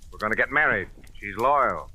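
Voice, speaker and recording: An actor playing a tough, slippery crime suspect in an old-time radio drama, curt, hard and threatening. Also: male voice, lowish voice, lively intonation, moderate pace, pushed and bright, husky, heavily acted. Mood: defiant. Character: defiant, menacing male blackmail suspect, tough and slippery.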